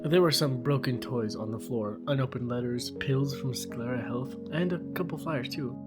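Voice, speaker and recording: A man's voice: mid-range voice, normal speed, over loud music. A man co-narrating an atmospheric scripted fiction podcast.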